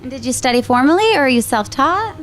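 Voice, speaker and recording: Brief: high-pitched woman, cheerful TV host, light music under her. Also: big pitch swings, fast, a bit bright. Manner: upbeat, chatty, affirming. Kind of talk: TV interview.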